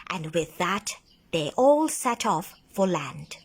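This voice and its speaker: A woman narrating a children's bedtime story in a deliberate, dramatic manner, stretching words for emphasis. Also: lowish voice, very animated, a little hiss or hum. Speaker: speech and drama teacher reading a story.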